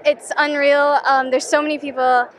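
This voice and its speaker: A woman's voice: high-pitched, level tone, moderate pace, a bit bright, a little hiss or hum. A young woman from the United States, a dancer, talking chattily into a street reporter's mic at a festival. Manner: chatty, lots of "like". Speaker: dancer interviewee. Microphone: handheld reporter mic.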